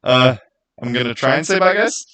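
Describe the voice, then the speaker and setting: A man chatting casually to viewers as a livestream host, earnest and rambling, with halting starts and repeated phrases. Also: mid-range voice, animated, bright, forceful tone. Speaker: streamer, channel host.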